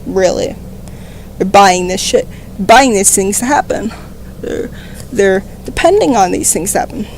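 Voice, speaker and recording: A slightly hoarse woman reading a political text aloud on a stream and breaking into rambling, profane, emphatic commentary into a desk microphone. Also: mid-range voice, expressive, a little slow, long pauses, darkish tone, a little hiss or hum, rough recording. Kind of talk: casual read-aloud with commentary.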